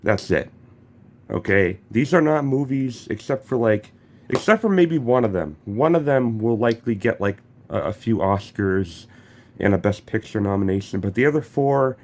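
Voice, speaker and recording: A man's voice: on the low side, animated, normal speed, darkish tone. A young man vlogging casually while sick with a cold, his throat congested; he swears freely and stumbles over his words. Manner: swears freely, stammers and restarts. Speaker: gaming YouTuber. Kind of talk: casual vlog commentary.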